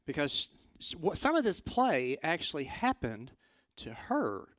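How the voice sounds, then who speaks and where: A man's voice: on the high side, wildly swooping pitch, a little slow, a bit bright, no echo. A man hosting an evening, giving an informal, conversational introduction to a staged play and speaking straight to the audience. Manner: conversational, addressing audience directly.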